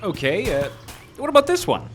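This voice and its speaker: An actor playing a glib, pushy young amateur filmmaker in a live radio drama. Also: male voice, high-pitched, moderate pace, faint music behind. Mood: eager. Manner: glib, wry, excitable. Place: live radio show in an outdoor park. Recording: live outdoor stage recording, background noise.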